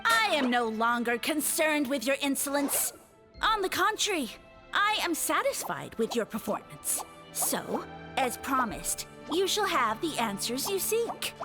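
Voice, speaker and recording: A woman's voice: high-pitched, sing-song, measured pace, over music. A woman voicing a pompous mushroom sage in a video game, speechifying grandly and formally. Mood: haughty, self-satisfied. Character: pompous mushroom sage lord demanding worshippers.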